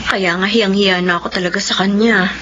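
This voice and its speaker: An actress playing a grateful, bashful older sister in a radio drama, with an earnest, emotive and hesitant delivery. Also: female voice, medium pitch, steady tone, a little fast, fairly bright tone, natural voice. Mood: subdued, thankful. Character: grateful, bashful older sister rebuilding after losing parents. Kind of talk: Tagalog radio drama acting. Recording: noisy, dull radio drama audio.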